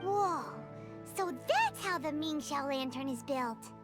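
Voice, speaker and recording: A woman voicing a tiny, eager, childlike fairy companion in a video game, squeaky and excitable. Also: takes it slowly. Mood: amazed, curious. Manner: excitable, refers to self in third person. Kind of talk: animated video game dub acting. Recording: music behind the voice.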